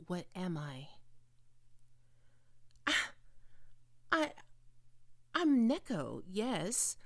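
Woman as a jittery young cat-vampire girl, stammers, lively swoops, slow unhurried delivery, dry close mic. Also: fairly high pitch, dry recording, heavily acted. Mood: offended. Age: young adult. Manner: flustered, stammering, quick to take offense. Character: nervous young female neko-vampire roommate. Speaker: ASMR roleplay performer. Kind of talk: ASMR roleplay.

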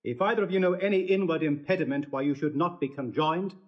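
An actor playing a formal, solemn friar in classical Shakespearean style, in a dull, lo-fi recording. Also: male voice, on the high side, quick pace, natural voice. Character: solemn friar officiating a wedding. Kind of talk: classical Shakespeare stage acting.

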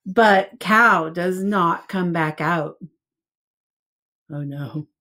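A woman in her forties reading a picture book aloud to young children as a preschool teacher, with simple, expressive phrasing. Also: on the low side, very slow.

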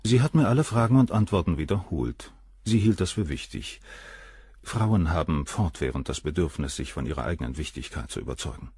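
A proud professor of surgery in a crime radio play. His low voice rises and falls freely, dry and close. He speaks with composed, cold condescension. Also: animated, fairly quick, darkish tone, slightly rough, dry recording, full character voice. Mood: cool, condescending. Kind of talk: German radio crime drama acting.

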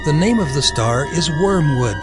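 A man narrating dramatized scripture in a solemn, measured storytelling manner. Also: fairly high pitch, expressive. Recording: music behind the voice.

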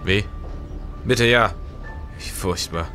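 Actor as deep-voiced, sharp, nervous big traveller. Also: male voice, slow, faint music behind. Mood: shocked, agitated. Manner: agitated, questioning, formal old-fashioned speech. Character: big, nervous, shaken male traveller looking for his friend. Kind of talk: German period crime audio drama.

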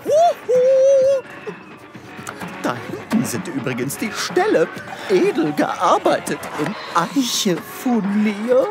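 A woman's voice: a little high, wildly swooping pitch, slow pace, music in the background, exaggerated voice, poor audio. A woman playing an overeager, stammering chicken health official who gushes in a comic sci-fi radio play. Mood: gushing, delighted. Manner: effusive, stammering, clucking over words. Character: gushing, overeager chicken health official, devoted to knitting creatures.

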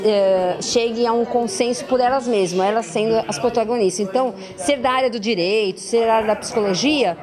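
A woman in her fifties, a mediator, answering interview questions on a handheld mic in a lecture room, earnest and advisory with hesitant fillers. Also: mid-range voice. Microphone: handheld mic, close framing.

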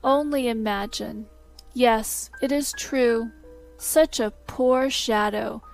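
A woman's voice: on the high side, slow pace, vocal fry, soft background music, low-quality recording. A woman voicing a scheming shadow who has become a man, giving grand, commanding orders in old-fashioned speech. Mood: feigned sorrow. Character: scheming shadow turned man, smooth and lordly.

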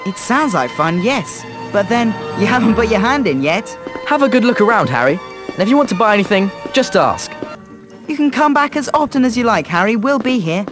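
A teenage prankster, lively salesman patter, high voice, mock-grand, fantasy game. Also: a little fast, over music. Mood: playful, selling. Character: cheeky teenage boy wizard running a joke shop. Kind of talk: British fantasy game acting.

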